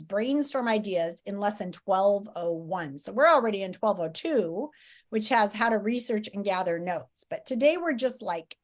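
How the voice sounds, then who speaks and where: A woman teacher giving an informal, step-by-step lesson to students, thinking aloud as she explains. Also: fairly high pitch.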